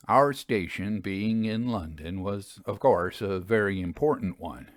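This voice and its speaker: A man narrating in a literary storytelling style, with measured, deliberate phrasing and emphatic stresses. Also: lowish voice, no room sound, clean studio sound. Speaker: narrator reading ghost stories aloud.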